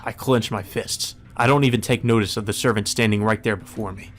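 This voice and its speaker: A man's voice: on the low side, fairly even intonation, fairly quick, slight background noise. A man reading visual novel narration aloud in a dramatic first-person storytelling style for a let's play.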